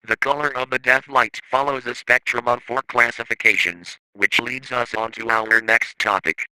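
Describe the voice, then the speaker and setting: A man's voice: mid-range voice, steady tone, moderate pace, sharp tone, smooth, low-quality recording. A man reading scripted analog horror narration in a formal, matter-of-fact, instructional way, like an informational course.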